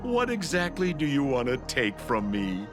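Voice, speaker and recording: A man's voice: mid-range voice, huge pitch swings, normal speed. A man voicing a playful, slyly polite trickster in a video game, with a theatrical, teasing delivery. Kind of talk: dramatic video game voice acting. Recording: loud music behind the voice. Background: loud background music.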